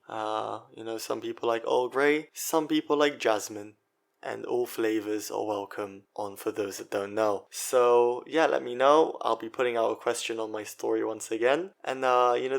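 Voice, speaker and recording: A young man from Israel talks alone into the mic for his podcast. His voice sits at a middling pitch, and he rambles in a casual, self-deprecating way, stopping and restarting his sentences and leaning on fillers as he goes. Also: creaky. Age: 20s. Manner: chatty and self-mocking, many fillers and restarts. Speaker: podcast host. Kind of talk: solo podcast monologue.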